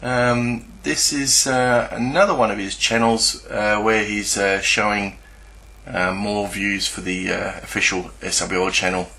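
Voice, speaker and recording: Low gravelly man, halting screen commentary. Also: fairly even intonation, bright, forceful tone. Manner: hesitant, halting, searching for words. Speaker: YouTuber presenting a screen walkthrough. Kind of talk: unscripted screen-share commentary.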